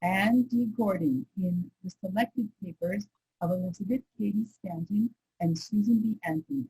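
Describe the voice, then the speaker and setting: A woman with a very husky, raspy voice reading from a readers' theater script. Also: mid-range voice, moderate pace, mellow, slight background noise, poor audio.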